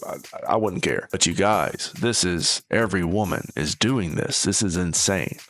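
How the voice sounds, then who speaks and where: Deep-voiced man giving blunt commentary. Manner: blunt, scornful. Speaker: online commentator. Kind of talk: casual reaction commentary.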